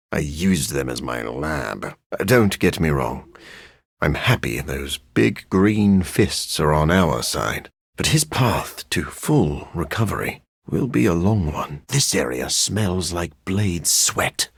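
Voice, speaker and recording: An actor playing a brooding, erudite vampire scientist in a video game, raspy and gravelly, with formal, precise, deadpan phrasing and a dry sardonic streak. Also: male voice, low voice, slow, no echo, full character voice. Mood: grim, wry. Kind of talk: video game character voice lines.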